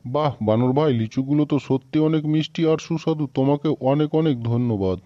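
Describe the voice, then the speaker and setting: A man's voice: fairly high pitch, a little fast, dry recording, close to an everyday voice. A man voicing a gullible, amiable yet treacherous crocodile in a cartoon moral tale, earnest and simple, with slightly dull sound. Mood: eager, friendly.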